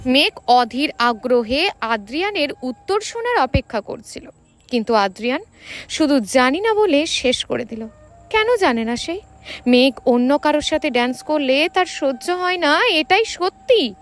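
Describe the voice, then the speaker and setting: A woman storyteller reads romantic fiction in a high voice. Her pitch moves up and down in a lively way as she delivers the scripted narration with drama. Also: measured pace.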